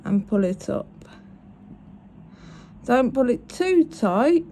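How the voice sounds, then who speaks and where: A woman's voice: fairly high pitch, big pitch swings, takes it slowly, warm tone, slight background noise. A woman, sniffly with a cold, talking through each step of making a bracelet in a chatty, apologetic way. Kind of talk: crafting tutorial.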